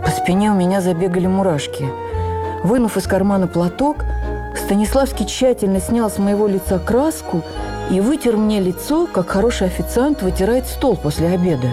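A woman reading a memoir for radio as an actress, with measured, expressive, theatrical storytelling. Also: medium pitch, over music.

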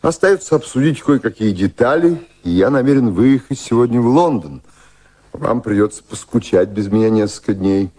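An actor playing a dignified, ardent British colonial official in his fifties in a Russian radio drama, courtly and deliberate, with a husky voice. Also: medium pitch, animated, warm tone, natural voice. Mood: genial, proud.

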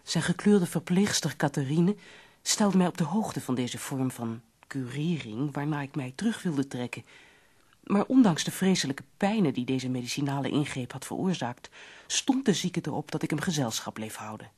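An actress playing an earnest, concerned visitor, speaking in formal literary phrases in a radio drama. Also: female voice, low-pitched, expressive, normal speed, darkish tone, slightly rough. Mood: grave, narrating. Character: earnest, concerned visitor of a sick poet, recounting memories. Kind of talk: radio drama acting and narration.

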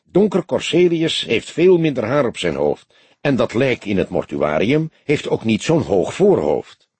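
Audiobook role: young junior detective, precise, self-assured, animated intonation, played by a male narrator. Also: mid-range voice, measured pace, slightly rough, no room sound. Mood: piqued, insistent. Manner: factual, emphatic reporting.

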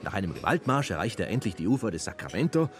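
Proud, ambitious film director, low register, very lively intonation, radio drama with faint music. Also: male voice, fast talker. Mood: excited. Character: ambitious, proud, evasive mountain-born male filmmaker. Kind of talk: German radio play acting.

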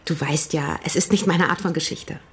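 An actress as a low-voiced, tactful woman. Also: steady tone, moderate pace, natural voice. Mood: evasive. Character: tactful, evasive woman close to a young writer. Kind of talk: German radio play acting.

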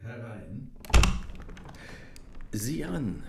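An actor playing a genial, slightly ironic police commissioner, affable and formal, in a roomy radio drama scene. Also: male voice, medium pitch, very animated, extremely slow, gravelly, over loud music, heavily acted. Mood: genially ironic.

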